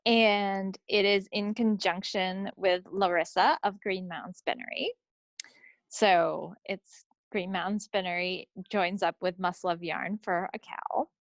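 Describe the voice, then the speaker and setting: A woman's voice: medium pitch, fairly even intonation, a little slow, dry recording, muffled recording. A woman co-hosting a hobby podcast, talking in a casual, chatty style. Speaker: co-host of a knitting video podcast. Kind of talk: casual chat.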